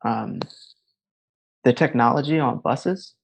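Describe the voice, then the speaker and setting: A man in his thirties chatting casually as a podcast co-host, his voice a little gravelly with some fry at the ends of phrases. He rambles, circling back and restarting his thoughts, and keeps them moving with "like" and "you know.". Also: medium pitch, slow, rough recording. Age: 30s. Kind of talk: casual chat.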